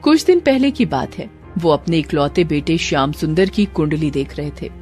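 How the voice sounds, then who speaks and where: A woman's voice: low-pitched, very animated, normal speed, darkish tone, soft background music. A woman narrator delivering dramatic, scripted storytelling for a cartoon.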